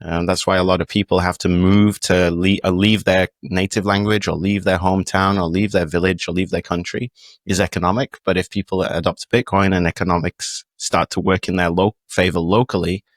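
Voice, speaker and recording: A man's voice: low-pitched, little pitch movement, fairly quick, dry recording, studio quality. A man with a British accent, speaking as a podcast guest in a casual, reflective, explanatory way with long meandering sentences. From United Kingdom. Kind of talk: casual interview talk, explanatory.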